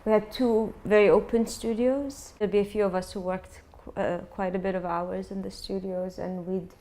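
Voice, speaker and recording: A woman in her thirties from Iraq, an art student and former architect, talking in a steady, matter-of-fact way. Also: mid-range voice, moderate pace. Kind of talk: documentary interview. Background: music.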